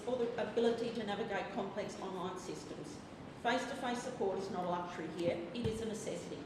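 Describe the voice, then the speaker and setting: A woman reading a formal, earnest prepared statement to a council, nervous as she speaks. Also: medium pitch. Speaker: member of the public. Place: council chamber. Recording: echoey hall from far off, noisy.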